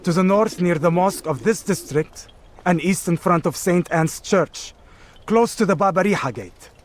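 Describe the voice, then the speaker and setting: An actor playing a bitter, disdainful keeper of an assassins' bureau in a video game, formal and scornful in manner. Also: male voice, sits high, moderate pace, slight background noise, close to an everyday voice. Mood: grudging, instructive.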